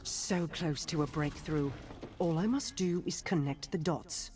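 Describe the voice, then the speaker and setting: An actress with a British accent playing a poised, dutiful police detective and sharpshooter in a video game, speaking in a crisp, formal, commanding way. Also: female voice, sits low, a little slow, darkish tone, a little hiss or hum. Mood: calm, determined. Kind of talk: video game champion voice lines.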